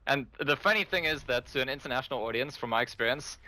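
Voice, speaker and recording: A man with a South African accent breaks down an esports match as the color commentator, calm and analytical. His voice has a bright, forward edge, and he talks at a middling pitch. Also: fast, rough recording. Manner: thoughtful, explaining, laughs lightly.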